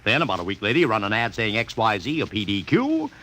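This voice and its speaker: A money-minded agency boss, breezy and wry, with a bright, animated voice. He talks in slang in classic radio drama style, on a slightly noisy old recording. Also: on the low side, fast talker, full character voice. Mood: breezy, confident. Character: brisk, wisecracking, money-minded male advertising agency boss.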